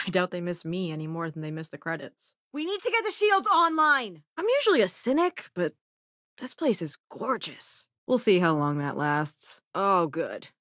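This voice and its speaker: An actress voicing a cynical, dry-witted spacefaring hacker in a video game, with a sardonic, conversational manner. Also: female voice, fairly low pitch, sing-song, normal speed, fairly bright tone, no room sound. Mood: wry, casual, then urgent, combative. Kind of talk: video game companion voice lines.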